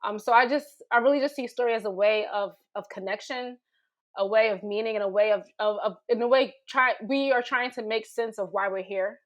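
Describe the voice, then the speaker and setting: Female panelist, slightly high pitch, thoughtful and informal, feeling her way through each sentence. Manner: tentative, searching, halting phrasing. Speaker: teacher and storyteller panelist. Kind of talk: thoughtful casual panel talk.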